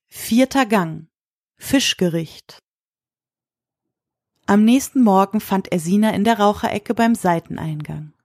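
A woman with a husky voice reads scripted literary narration for an audio drama. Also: mid-range voice, unhurried, long pauses, darkish tone, dry recording, clean studio sound.

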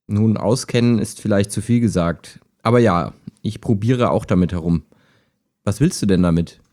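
A man playing a calm, helpful, lonely chat partner in an audio drama, with a measured, earnest delivery. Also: fairly low pitch, close to an everyday voice. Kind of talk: amateur audio drama dialogue, read chat.

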